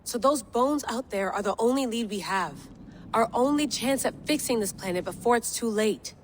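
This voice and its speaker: An actress playing a troubled, guarded field operative in a video game, earnest and uneasy, speaking hesitantly. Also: female voice, a little high, animated, normal speed, a little hiss or hum, close to an everyday voice. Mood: intent, grave. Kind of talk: naturalistic video game acting. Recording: music playing behind the voice.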